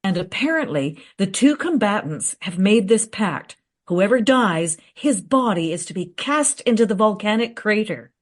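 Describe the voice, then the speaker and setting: An actress playing a confident, eager researcher in a radio play, recounting history in a teasing, matter-of-fact way. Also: female voice, mid-range voice, expressive, normal speed, few pauses, natural voice. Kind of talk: radio play dialogue, storytelling.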